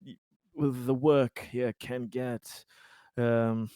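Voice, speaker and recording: A man acting out a chatty, eager colonist in a tabletop roleplay. He speaks slowly and haltingly, stammering through polite, slightly boastful small talk, very close and dry. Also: mid-range voice, steady tone, dark tone, dry recording, lightly acted. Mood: friendly, hesitant.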